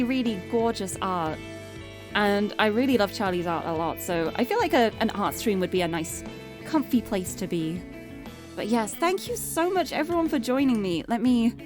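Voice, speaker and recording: A young woman streamer chatting casually and cheerfully, her voice getting a little hoarse and tired. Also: fairly high pitch. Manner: bubbly, chatty, restarts words often. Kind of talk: casual live-stream chat. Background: background game music.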